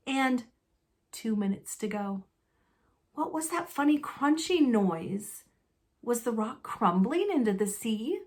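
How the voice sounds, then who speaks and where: A woman narrating a children's novel aloud, expressively, with deliberate and dramatic phrasing. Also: a little high. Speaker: storybook narrator.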